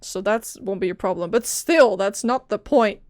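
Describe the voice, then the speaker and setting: A woman's voice: mid-range voice, normal speed, dry recording. A woman game streamer chatting casually and playfully as she reacts to a story game on a close mic. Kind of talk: casual reactions and chat over a game playthrough. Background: faint game music.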